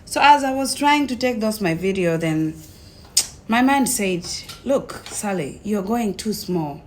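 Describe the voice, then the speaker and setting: A young woman with a Tanzanian accent tells her own story casually, in an animated way, acting out conversations as she goes. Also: medium pitch, a little slow, a little hiss or hum. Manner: animated retelling, acts out quoted conversations.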